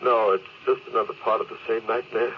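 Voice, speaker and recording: An actor playing a regretful, earnest middle-aged spaceship captain in 1950s radio drama style, on a muffled, noisy vintage recording. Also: male voice, fairly low pitch, level tone, brisk, natural voice. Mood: anguished, bewildered. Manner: earnest, emotional, confessional.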